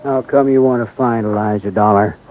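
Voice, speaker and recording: A man playing a slow-talking beefy deputy sheriff. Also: lowish voice, steady tone, darkish tone, soft background music, close to an everyday voice. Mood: laconic. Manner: folksy, unhurried drawl with rural grammar. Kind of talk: 1950s radio drama acting. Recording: dull lo-fi old radio recording.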